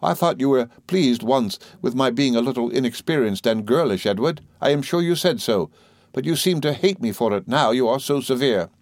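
A timid young wife and mother from a classic storybook, acted out by a male narrator. The voice is lifted well up in pitch, faltering and pleading, with a meek, tearful temper. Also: moderate pace, darkish tone, no room sound, close to an everyday voice. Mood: tearful, aggrieved. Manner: faltering, pleading, repeating herself.

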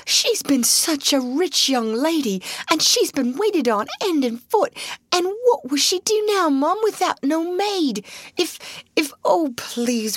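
A woman's voice: sits high, no echo, pitched above the performer's own voice. A woman voicing a timid young scullery maid who pleads tearfully, stammers and talks with uneducated grammar.

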